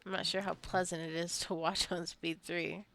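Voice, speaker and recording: A woman's voice: on the low side, flat delivery, normal speed, a bit bright. A woman casually narrating her own video game play, rambling into asides and playfully scolding the characters as she goes. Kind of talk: casual gameplay commentary. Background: life simulation game being played.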